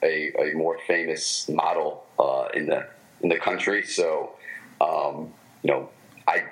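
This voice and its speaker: A man with a Michigan American accent talks in a notably low voice. He is a former professional baseball player looking back on his career in a relaxed interview, and he often pauses, restarts and fills gaps with "um.". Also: rough recording. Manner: halting, many fillers and restarts. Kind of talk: casual interview storytelling.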